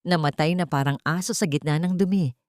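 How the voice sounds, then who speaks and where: A woman's voice: low voice, fairly quick, a little mellow, no room sound, clean studio sound, close to an everyday voice. A woman voicing a callous, scornful male dock worker in an audio drama.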